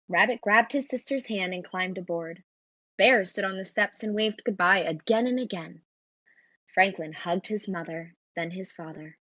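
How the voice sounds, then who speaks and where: A woman reading a children's storybook aloud in an expressive narrating style. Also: fairly low pitch, no room sound, muffled recording. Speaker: storybook reader.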